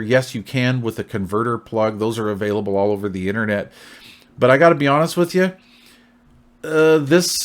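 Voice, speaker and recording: Middle-aged man, casual plain-spoken reviewer. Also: mid-range voice. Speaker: radio hobbyist reviewer.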